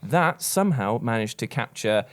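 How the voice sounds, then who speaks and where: A man talking casually as a podcast panellist, making his points in an animated, emphatic way. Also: mid-range voice, normal speed.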